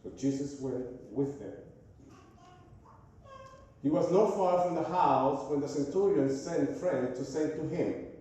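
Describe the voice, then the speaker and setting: A man with a Venezuelan Spanish accent, preaching as a guest speaker in a church, pausing often to search for his words. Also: fairly high pitch, mellow, a little hiss or hum. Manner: halting, searching for words, frequent "uh" and restarts. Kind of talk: sermon. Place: church sanctuary. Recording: echoey hall from far off.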